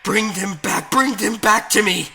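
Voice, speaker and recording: A man voicing a weary, desperate, obsessive ex-detective in a horror story, pleading with a hoarse, scratchy voice over music. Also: high voice, unhurried, crowd noise, pitched above the performer's own voice. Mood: desperate, shouting. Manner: shouting, frantic pleading. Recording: music behind the voice.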